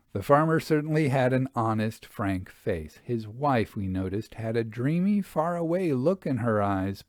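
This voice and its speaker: A man narrating a Victorian ghost account as a scripted reading, in a measured, genteel manner. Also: medium pitch, a little mellow, dry recording, studio quality. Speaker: narrator reading a book aloud.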